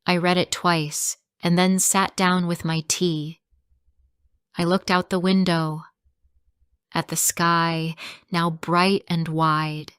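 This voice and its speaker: A woman reading a simple first-person story for English learners, in slow, clearly spoken sentences. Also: lowish voice, flat delivery, a bit bright, no room sound, clean studio sound.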